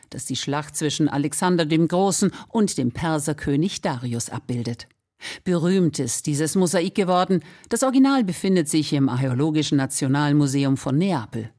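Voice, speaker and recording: A woman's voice: deep voice, dark tone. A woman reading scripted narration for a radio feature as its reporter.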